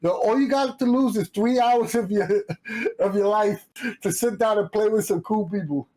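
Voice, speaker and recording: A man's voice: sits high, moderate pace, mellow. The joking, slangy guy who hosts a hobby livestream and casually runs through the sign-up and follow plugs before closing the show. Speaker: host of a gaming community stream. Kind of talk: casual chat with promotional plugs.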